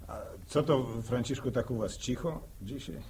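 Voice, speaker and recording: An actor playing a sociable village farmer in an old radio drama, with a husky voice and folksy rustic phrasing. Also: male voice, mid-range voice, lively intonation, normal speed, darkish tone, slight background noise, lightly acted. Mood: chatty, curious. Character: sociable village farmer, a visiting neighbour, sympathetic. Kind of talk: 1960s radio drama acting.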